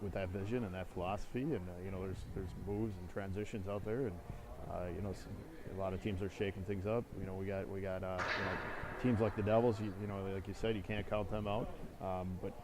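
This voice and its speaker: A man in his forties chatting about hockey as co-host, halting and leaning on "you know" fillers. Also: deep voice, fairly even intonation, background noise. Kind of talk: casual hockey talk.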